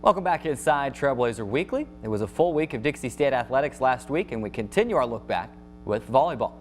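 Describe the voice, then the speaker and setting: An American man hosting a sports show, reading a scripted recap of scores and highlights as an announcer. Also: lively intonation, a little fast, faint music behind.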